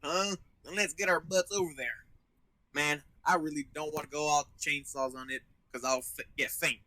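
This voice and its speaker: Man acting teenage devil hunter boy, high voice, brash, casual, dry fan-dub recording. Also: fairly even intonation, moderate pace, sharp tone, dry recording. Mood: reluctant. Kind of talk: amateur live fan dub of anime, mixed with casual banter.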